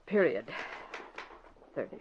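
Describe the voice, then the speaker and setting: An actress playing a brisk, no-nonsense newspaper secretary, crisp and dry in old-time radio drama style. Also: female voice, a little hiss or hum, natural voice. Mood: dry, businesslike. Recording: dull vintage radio broadcast.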